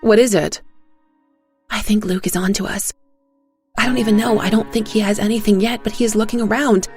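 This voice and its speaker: A woman's voice: medium pitch, fairly even intonation, a little fast, a little mellow, faint music behind, voice pushed up, close to an everyday voice. A woman voicing a frightened, anxious woman accomplice in an audio drama, insistent and defensive as she talks. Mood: panicked. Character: Gloria, panicky frightened woman accomplice on the phone. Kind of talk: audio drama character acting.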